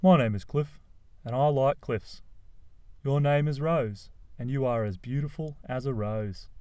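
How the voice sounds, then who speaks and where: A man voicing an excitable, self-dramatizing young male poet who tells his story in a gushing, animated way. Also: medium pitch, unhurried, no echo, clean studio sound, natural voice. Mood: dreamy.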